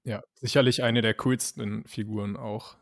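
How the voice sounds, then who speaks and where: A man's voice: fairly low pitch, fairly even intonation, normal speed, creaky, no room sound, studio quality. A man co-hosting a podcast, talking about a series in a thoughtful, somewhat hesitant way, with a little laughter. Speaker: podcast co-host. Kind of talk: casual chat reviewing a series.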